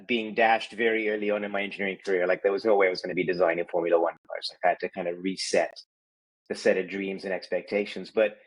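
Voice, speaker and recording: A man from Kenya talks through his background as a podcast guest, his voice sitting on the low side. He speaks in a thoughtful, winding way, dotting his sentences with "you know". The sound is that of a video call. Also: flat delivery, fast, poor audio. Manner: thoughtful, meandering, many "you know" fillers. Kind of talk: reflective conversational storytelling.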